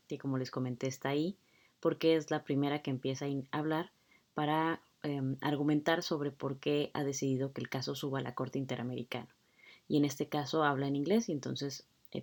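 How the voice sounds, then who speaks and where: Woman lecturer, deep for a woman, conversational explainer with fillers. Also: a little mellow. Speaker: law lecturer. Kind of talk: explaining a court hearing video.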